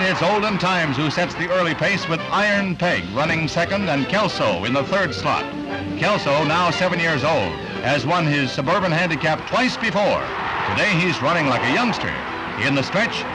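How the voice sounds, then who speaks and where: An American man reading scripted newsreel narration in a brisk, punchy, dramatic 1960s announcer style. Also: a little high, rough recording. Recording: vintage newsreel soundtrack, loud music underneath. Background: loud music bed.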